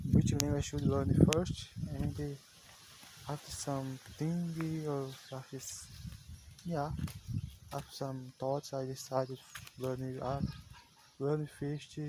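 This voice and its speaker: Halting man, unhurried, outdoor take with crickets chirping loudly behind him. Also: medium pitch, heavy background noise. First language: Portuguese. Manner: halting, searching for words, frequent "yeah". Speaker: language learner. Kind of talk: unscripted practice monologue.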